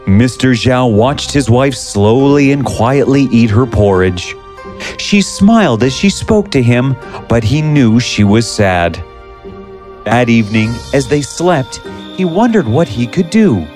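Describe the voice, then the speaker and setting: A man narrating a scripted fairy tale in an expressive, measured way. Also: mid-range voice, faint music behind. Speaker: storyteller.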